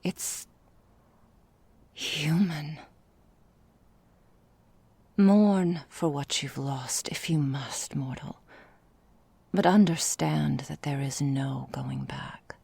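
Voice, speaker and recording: A woman playing an ancient, possessive vampire mistress in an ASMR roleplay, speaking in a breathy, husky half-whisper with deliberate, formal phrasing. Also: low-pitched, mellow, dry recording, full character voice. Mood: solemn, coaxing. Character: female vampire mistress, ancient, possessive, seductively menacing, melancholy. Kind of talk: ASMR roleplay, intimate dramatic monologue.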